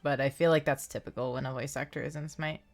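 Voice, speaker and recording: A woman's voice: rumbling low, fairly even intonation, fast talker, soft background music. A woman livestreaming a game, chatting casually and reacting to it. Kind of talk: casual stream chat and reactions. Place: indoor livestream setup, music behind.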